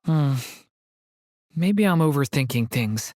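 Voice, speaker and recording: A woman voicing a gentle, earnest young dragon boy in a video game, soft and hesitant. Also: deep voice, expressive, takes it slowly, dry recording, studio quality. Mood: pensive, unsure. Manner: hesitant, thoughtful.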